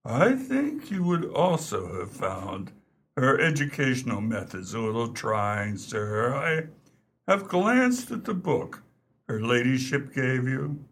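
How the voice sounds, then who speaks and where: A man's voice: mid-range voice, very animated, slow, a bit bright, breathy edge, exaggerated voice. A man acting in a radio play as an unflappable, deferential English valet who speaks formally and with discreet opinions. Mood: frank, measured.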